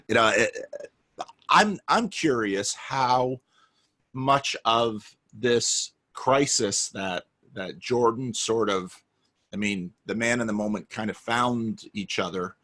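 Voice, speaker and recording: A man's voice: on the low side, fairly bright tone. A man, a pastor, talking in a casual conversation in an earnest, musing way, often repeating words.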